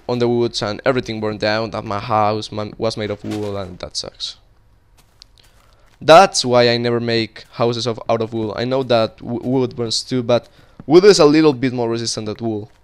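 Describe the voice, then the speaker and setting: A man's voice: medium pitch, clean tone. A young man from Colombia, a native Spanish speaker with a Colombian accent, rambling casually while commenting on his gameplay. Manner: rambling and chatty, talking while playing, frequent restarts. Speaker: gaming video creator.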